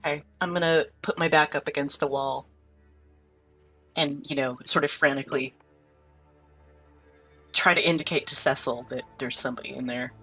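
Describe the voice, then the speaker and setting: Low-voiced woman playing a tabletop game. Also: steady tone, moderate pace, pauses often, sharp tone, husky. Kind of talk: casual game-table chat. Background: music.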